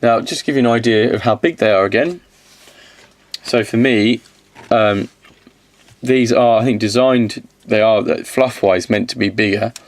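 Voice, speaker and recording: A man with a slight cold-hoarse voice, casually reviewing miniatures, rambling and correcting himself as he goes. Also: medium pitch, moderate pace. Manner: rambling, self-correcting, counts aloud.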